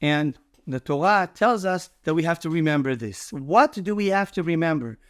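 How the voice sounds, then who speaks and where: A man's voice: on the high side, no echo, studio quality. A man speaking as a rabbi, giving a short explanatory Torah teaching with emphatic repetition.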